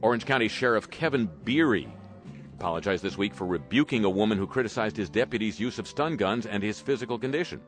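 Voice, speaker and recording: A man's voice: on the low side, lively intonation, fast, faint music behind. A man hosting a talk show, reading news items with wry, sarcastic asides. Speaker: host. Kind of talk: radio talk commentary.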